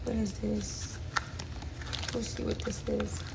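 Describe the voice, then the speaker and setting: A woman with an accent talks slowly and excitedly, her voice gravelly with fry. She chats through a casual unboxing of her nail supplies while packaging crinkles loudly behind her. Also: medium pitch, heavy background noise. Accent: non-native English accent. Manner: chatty and excited, lots of fillers. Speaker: nail technician. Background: loud crinkling and rustling of packaging.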